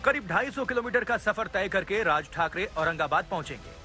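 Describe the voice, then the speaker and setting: High-pitched, bright male news narrator. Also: lively intonation, a little fast. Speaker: news reporter narrating a video package. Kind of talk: dramatic scripted news narration. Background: music bed.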